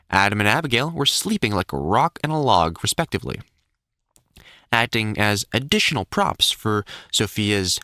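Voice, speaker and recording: Expressive male storybook narrator, dry close sound. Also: on the low side, no room sound.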